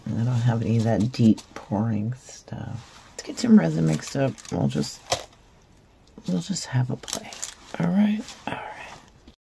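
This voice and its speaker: A woman hosting a resin craft video, raspy after a concert, chatting in a rambling, self-deprecating way while she works. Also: very deep voice, a little slow, dark tone, a little hiss or hum. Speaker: resin craft channel host. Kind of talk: casual chat while crafting. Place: craft table indoors.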